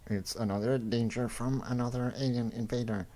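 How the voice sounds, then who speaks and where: A man's voice: medium pitch, fairly even intonation, moderate pace, mellow, lightly acted. A man voicing an earnest robot scout, solemn and urgent, in a comic-book drama. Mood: grave warning. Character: grave, earnest robot scout warning of invasion. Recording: clearly noisy recording.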